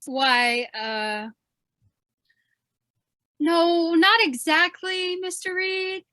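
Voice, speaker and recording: A woman playing an eager, brash, excitable young news photographer in an old-time radio drama. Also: very high voice, drawn-out words, dry recording, exaggerated voice. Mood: dismayed. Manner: excitable, slangy.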